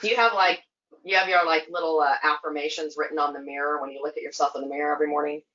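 A woman's voice: deep voice, fairly even intonation, very fast, sharp tone, muffled recording. A woman guest on a podcast, chatty and easygoing, using lots of fillers and laughing easily. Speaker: guest, skating association staff. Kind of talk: casual podcast interview.

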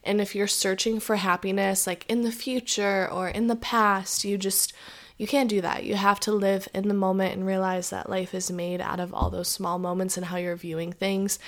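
A woman talks casually into her podcast mic, rambling from one thought to the next. Her voice is raspy from a sore throat, and she leans on "like" and "um" as she goes. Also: medium pitch. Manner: chatty, rambling, many "like"s and "um"s. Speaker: podcast host. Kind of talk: casual solo podcast chat. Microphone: podcast microphone.

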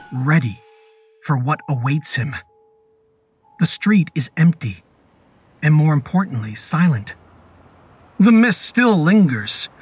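A man narrating a scripted horror story dramatically, with suspenseful and deliberate phrasing. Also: on the high side, slightly rough.

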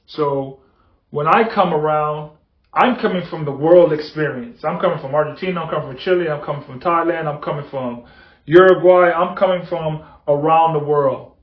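A man's voice: fairly high pitch, moderate pace. Earnest man, echoey lo-fi room. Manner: earnest, repetitive, building emphasis. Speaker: podcast host. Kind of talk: motivational monologue. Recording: echoey, dull lo-fi room sound.

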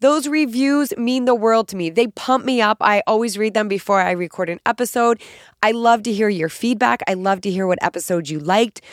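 Raspy, nasal woman podcaster with a cold. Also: mid-range voice, normal speed, no room sound, studio quality. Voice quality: naturally raspy voice. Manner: upbeat, emphatic and encouraging. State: sick, extra nasal and raspy. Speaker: podcast host and fitness coach. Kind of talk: solo podcast monologue.

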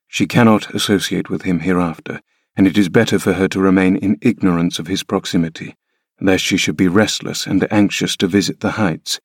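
A man reading the lines of a grave, formal gentleman giving careful instructions. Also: low-pitched, steady tone, slightly rough, no echo, clean studio sound. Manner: measured, formal. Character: grave gentlemanly father, measured.

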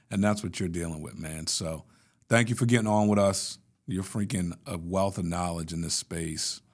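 Chill low-voiced host guy, slangy and easy going. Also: moderate pace. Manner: laid-back and slangy. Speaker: podcast host. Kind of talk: casual interview wrap-up.

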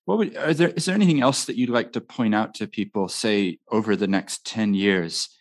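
A man's voice: lowish voice, normal speed. A man from New Zealand, chatting casually as an interviewer. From grew up in New Zealand.

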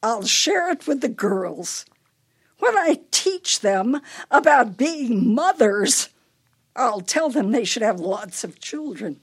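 Husky, animated elderly wife played by an actress. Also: female voice, a little high, slow pace, exaggerated voice. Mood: teasing, bright. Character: elderly wife losing her memory, imperious, brittle, anxious. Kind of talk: radio play acting.

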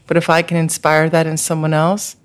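An earnest woman speaking as a guest therapist, telling a candid story. Her voice sits a bit low. She talks in a spiritual way, with frequent fillers. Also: monotone, fairly quick. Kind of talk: personal storytelling interview.

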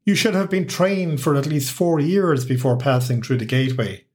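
A man voicing a stern middle-aged master of a fortress, who speaks slowly and gravely. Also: fairly high pitch, lightly acted. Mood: stern warning.

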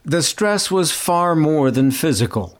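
A man reading scripted documentary narration in a measured, even way. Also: a little high.

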